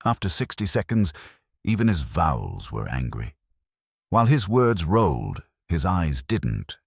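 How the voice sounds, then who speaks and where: A man with an extremely deep voice narrates a first-person detective story. His delivery is measured and wry, with a lot of movement in the intonation. The recording is dry, with hardly any room sound. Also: very animated, creaky, breathy edge, no echo. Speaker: audiobook narrator.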